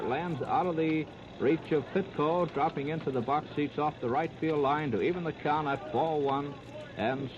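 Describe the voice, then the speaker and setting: Male sports announcer, higher voice, old-time radio baseball call, short clipped phrases, scratchy noisy recording. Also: lo-fi sound. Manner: clipped present-tense narration in short phrases. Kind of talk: 1930s live radio baseball commentary.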